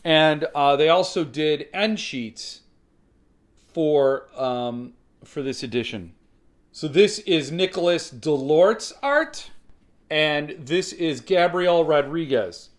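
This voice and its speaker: A man's voice: on the high side, lively intonation, slow. A man giving casual, opinionated unboxing commentary, explaining things conversationally as the host of his own review channel.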